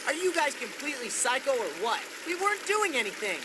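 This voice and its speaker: Brash teen boy hero in a game, super high voice, slangy, noisy background. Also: big pitch swings, a little fast. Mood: indignant. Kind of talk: scripted video game voice acting.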